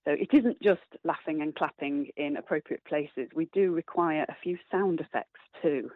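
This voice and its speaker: A low-pitched woman speaks over a narrow, phone-like connection with very little room sound. She is a guest in a casual radio interview, explaining things agreeably as an amateur theatre performer. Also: normal speed, no room sound. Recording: thin phone-like radio line.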